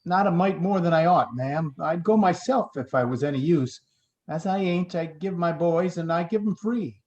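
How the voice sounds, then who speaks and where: A man's voice: on the high side, normal speed, darkish tone, highs cut off, lightly acted. A man playing a poor, tired, proud old father in a radio play rehearsal, plain and folksy in his stoic speech.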